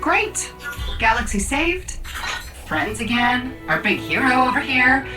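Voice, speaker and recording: A cheeky little female robot companion in a video game. Her delivery is wry and very lively in pitch, teasing as she goes. Loud music sits underneath. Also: slow pace, heavily acted. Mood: sarcastic, playful. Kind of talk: video game cutscene acting.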